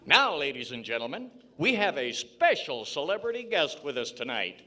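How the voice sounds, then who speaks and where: A man acting as a genial, excitable 1940s radio variety show host with a folksy, showmanlike delivery. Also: fairly high pitch, moderate pace. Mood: genial, welcoming.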